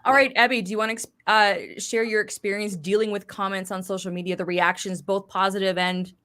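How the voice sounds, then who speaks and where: A woman's voice: mid-range voice, flat delivery, brisk, fairly bright tone. A woman hosting an online panel over a video call, upbeat and introducing speakers. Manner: upbeat, laughs between remarks. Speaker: host, moderator.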